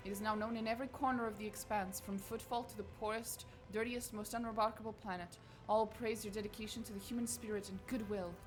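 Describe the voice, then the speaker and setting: A woman's voice: medium pitch, steady tone, fast, hardly any pauses, faint music behind, natural voice, poor audio. A woman reading aloud the lines of a fawning young male crew spokesman, in flowery, formal praise. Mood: effusive. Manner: reads game dialogue aloud, flowery and formal.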